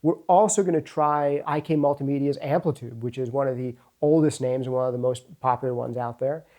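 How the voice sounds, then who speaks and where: A man hosts in a relaxed, mellow voice at a middle pitch. He talks casually, presenting and asking questions in a home studio. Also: brisk. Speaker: interviewer and host. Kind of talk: casual gear-video presenting.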